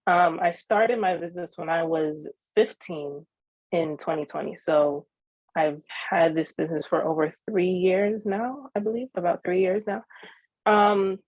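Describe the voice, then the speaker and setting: Earnest eighteen-year-old woman, low-pitched. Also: steady tone, unhurried, darkish tone, dry recording, highs cut off. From New York (Queens). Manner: earnest, with frequent ums and restarts. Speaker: young entrepreneur guest. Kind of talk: interview answers.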